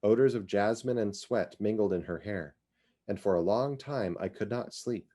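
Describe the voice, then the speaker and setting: A man with a fairly low voice reads a piece of literary fiction aloud. He narrates in the first person, as a dramatic reading of prose. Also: normal speed, warm tone. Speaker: reader of fiction.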